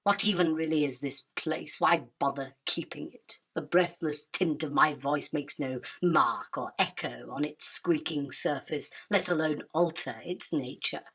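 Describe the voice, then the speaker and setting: A woman's voice: low-pitched, pushed and bright, full character voice. A woman playing a haughty, imperious spider queen in a radio drama, declaiming in a grand, self-important manner. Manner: pompous, grandiose, theatrical declaiming. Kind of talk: illustrated radio drama acting.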